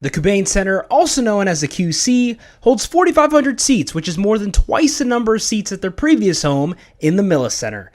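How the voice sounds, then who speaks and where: High-pitched young American sportscaster guy. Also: big pitch swings, fairly quick, few pauses. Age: young adult. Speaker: student sports anchor, reporter and play-by-play commentator. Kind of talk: scripted sports news and commentary.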